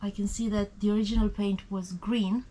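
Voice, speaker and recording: A woman's voice: mid-range voice, level tone, moderate pace, warm tone, slight background noise, poor audio. Chatty woman explaining her renovation work outdoors. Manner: chatty, explains step by step with fillers. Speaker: vlogger. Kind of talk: casual home-renovation vlog. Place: outdoors at a rural cottage. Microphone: action camera held close.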